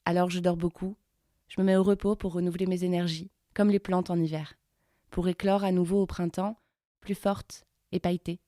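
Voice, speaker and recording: A woman's voice: fairly low pitch, little pitch movement, normal speed, warm tone, no room sound, studio quality, natural voice. An actress playing a proud, flamboyant femme mother in an audio fiction self-portrait, declarative and self-assured. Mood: proud, content.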